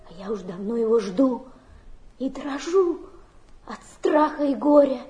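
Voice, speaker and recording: Stage role: a tearful young streetwalker lost in romance, very high voice, slow theatrical delivery. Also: female voice, animated, mellow, soft background music. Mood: dreamy, rapturous. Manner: drawn-out, melodramatic, emotional delivery. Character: overwrought young fallen woman, dreamy and tearful, telling romantic fantasies. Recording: archive stage drama recording.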